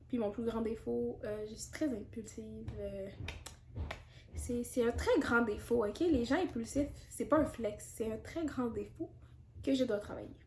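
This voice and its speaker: A woman's voice: fairly high pitch, warm tone, slight background noise. Chatty young Quebec woman, echoey room. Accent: Quebec French. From Canada. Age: 20s. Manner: chatty and candid, with frequent fillers and asides. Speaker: YouTuber. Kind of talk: casual Q&A vlog.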